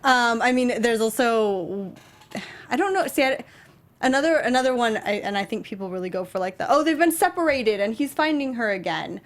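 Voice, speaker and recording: Woman co-hosting, tentative and halting. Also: on the high side, animated. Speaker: talk show co-host. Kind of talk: casual chat discussing a video game.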